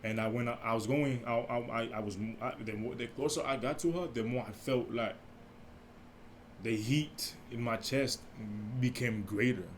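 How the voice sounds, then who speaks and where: Mellow-voiced man rambling through a story. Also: moderate pace, a little hiss or hum. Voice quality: deep, mellow voice. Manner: rambling, many restarts and asides, speaks softly. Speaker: podcast host. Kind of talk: casual solo podcast storytelling.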